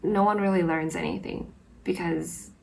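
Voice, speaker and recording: A woman's voice: fairly low pitch, fairly even intonation, moderate pace, creaky, low-quality recording. A young woman co-hosting a casual podcast chat. She talks emphatically, with many fillers. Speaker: podcast co-host.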